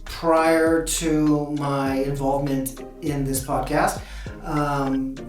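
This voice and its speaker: A woman's voice: sits low, level tone, extremely slow, faint music behind. A woman voice actor casually introducing herself for an interview, recorded in an echoey room from a distance.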